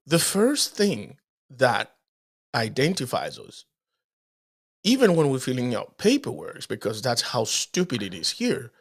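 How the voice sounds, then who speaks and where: A man from the Dominican Republic co-hosting a podcast, chatting casually and often repeating words as he starts a thought. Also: medium pitch. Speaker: podcast co-host. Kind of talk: casual conversation.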